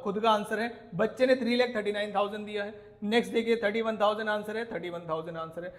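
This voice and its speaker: An Indian man who teaches, ranting indignantly to viewers in an emphatic, scolding way with rhetorical questions. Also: extremely high pitch. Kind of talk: indignant video rant to viewers. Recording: echoey room.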